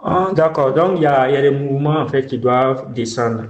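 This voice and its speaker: An echoey, slightly dull phone recording of a man with a West African French accent. He speaks at a middle pitch in private conversation. His delivery is hesitant, with words repeated as he goes. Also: a little fast, noisy background. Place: indoors, in a bedroom on a phone call.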